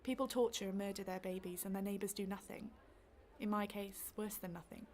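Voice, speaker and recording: A woman playing a fretful, well-meaning middle-aged mother in a radio drama. She talks in a chatty, self-questioning way at a medium pitch, over faint background noise. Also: steady tone, fairly quick, a little mellow, natural voice. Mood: anxious, guilty. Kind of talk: radio play monologue.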